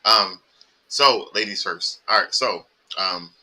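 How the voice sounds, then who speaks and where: A man's voice: fairly low pitch, slow pace, pushed and bright. A man hosting a podcast in casual chat, loose and joking, talking over his guests. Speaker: podcast host.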